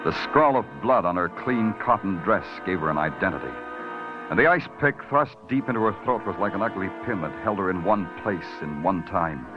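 A man's voice: sits low, expressive, brisk. An actor playing a terse, hard-boiled male police detective who narrates in 1940s radio drama style. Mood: grim. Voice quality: brooding, clipped delivery. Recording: dull old radio recording with music.

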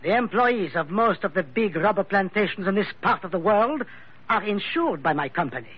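A suave French investigator in a vintage radio thriller. His voice is high and husky, with a French accent and formal, courteous phrasing that swoops up and down. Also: sing-song, normal speed, heavily acted. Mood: explaining. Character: suave, suspicious Frenchman, insurance investigator with a pistol. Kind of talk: old-time radio drama acting. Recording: dull vintage radio recording.

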